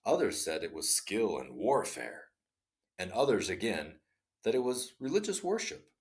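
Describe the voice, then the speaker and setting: A man reading a classic short story aloud in an expressive, dramatic storytelling style. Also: lowish voice, normal speed. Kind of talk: read-aloud story narration.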